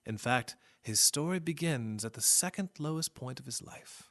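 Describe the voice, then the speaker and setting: A man's voice: medium pitch, lively intonation, normal speed, a bit bright. A man acting a grave researcher who narrates a radio drama in a theatrical, portentous way. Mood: wry storytelling. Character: grave doctor-researcher narrating a dying world's adventure tale.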